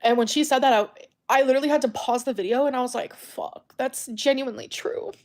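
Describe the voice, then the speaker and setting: A woman speaking in a personal confessional vlog, earnest, halting and self-pitying. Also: high-pitched. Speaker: vlogger.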